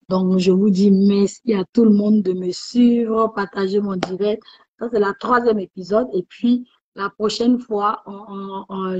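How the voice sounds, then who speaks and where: A woman talks casually to her followers in a motivational livestream, warm and rambling, and she often repeats words. Her voice sits at an average pitch. The sound is close and dry, with a slightly dull, lo-fi tone. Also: dry recording. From West Africa. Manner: warm, chatty, repeats herself, many fillers. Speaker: livestream host.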